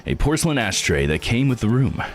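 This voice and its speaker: A man voicing a formal, analytical gentleman treasure hunter from a visual novel, with precise, measured phrasing. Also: mid-range voice, sing-song, pitched above the performer's own voice. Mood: observant. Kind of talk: reading visual novel dialogue aloud.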